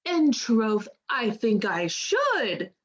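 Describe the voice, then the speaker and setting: A woman's voice: on the high side, wildly swooping pitch, very slow, dull audio, heavily acted. A woman playing a worldly, outspoken lady's maid in a Shakespearean radio play, with a wry, frank manner. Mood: wry, teasing.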